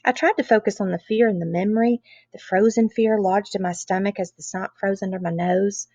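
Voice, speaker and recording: A woman reading a children's novel aloud in an expressive, animated storytelling style. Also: mid-range voice, a little fast, slightly rough, dry recording. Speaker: read-aloud reader. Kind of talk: expressive children's book narration. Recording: dull, lo-fi close recording.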